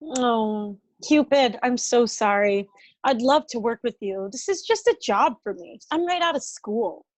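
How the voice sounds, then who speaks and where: A woman's voice: a little high, animated, a little slow. A woman acting an earnest, eager thirty-year-old psychologist in a comedy table read, polite in manner. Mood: apologetic.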